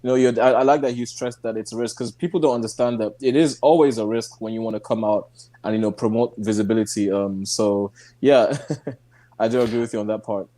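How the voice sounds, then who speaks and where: A man's voice: lowish voice, brisk. A young man from Nigeria, a guest on a video-call interview, talking casually with frequent laughs and restarts. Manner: relaxed, laughs often, speaks in loose restarts. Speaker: guest activist.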